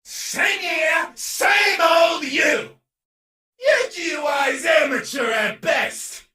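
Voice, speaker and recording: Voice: very high-pitched, animated, drawn-out words, reverberant, shouting, recorded from a distance, background noise, exaggerated voice. A woman voicing a cocky, hyperactive showman villain in a video game, brash and theatrical, taunting opponents. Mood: taunting, mocking. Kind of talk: exaggerated video game character voicelines.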